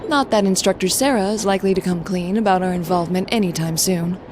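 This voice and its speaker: An actress playing a composed, serious young student in a video game, speaking in formal, measured sentences. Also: female voice, fairly low pitch, background noise, natural voice. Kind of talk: video game cutscene dialogue acting.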